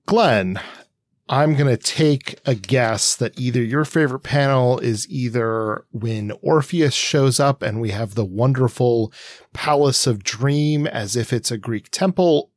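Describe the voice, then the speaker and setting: A man's voice: mid-range voice, no echo. A middle-aged man co-hosting a podcast with casual, analytical commentary, wandering into asides as he builds his point. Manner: discursive, self-interrupting asides. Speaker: podcast co-host.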